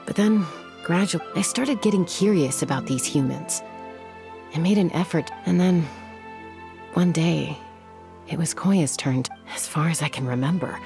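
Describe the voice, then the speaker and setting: Low-voiced, composed young warrior woman. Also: gravelly, over music. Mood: reflective. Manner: measured, thoughtful, serious. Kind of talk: video game voice acting.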